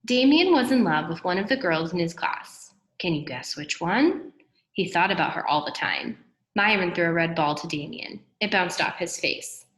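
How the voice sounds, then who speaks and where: Low-voiced woman reading in an echoey room. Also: normal speed. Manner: animated, acts out dialogue. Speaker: read-aloud storyteller. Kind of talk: expressive children's story read-aloud.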